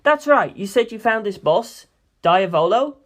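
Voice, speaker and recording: A woman's voice: mid-range voice, expressive, a little slow, natural voice. A woman voicing an inquisitive, easily startled young male detective, questioning and hesitant, in a story reading. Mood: curious, startled.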